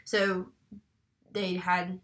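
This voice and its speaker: A woman's voice: lowish voice, flat delivery, drawn-out words, fairly bright tone. A young woman from North Carolina with a stuffy head cold, chatting casually and rambling as co-host of a true crime podcast. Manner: chatty, rambling, self-deprecating.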